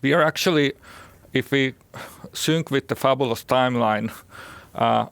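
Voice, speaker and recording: Gravelly Finnish-accented man, halting presenter. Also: mid-range voice, a bit bright. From Finland. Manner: halting, repeats words. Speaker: startup chief executive, conference speaker. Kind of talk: conference presentation.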